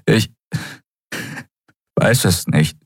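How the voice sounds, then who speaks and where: A man voicing a captive man who chokes and pleads in a thin, breathless, halting voice for an audiobook story. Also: on the low side, vocal fry, slightly rough, no echo, clean studio sound, pitched above the performer's own voice, heavily acted. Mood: exhausted, earnest. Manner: halting, broken phrases. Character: choking captive man, gasping and pleading desperately.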